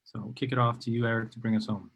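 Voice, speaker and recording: A man's voice: medium pitch, level tone, rapid-fire, husky. A man hosting a live-stream interview, enthusiastic and rambling, with many ums and uhs. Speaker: host of a live video interview show.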